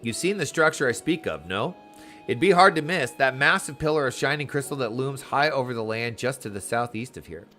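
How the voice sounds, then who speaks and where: A man acts out a genial town official from a fantasy video game. He talks in courtly, old-fashioned phrases with keen curiosity, at a middling pitch, with soft music underneath. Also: animated, a little fast. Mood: intrigued, genial.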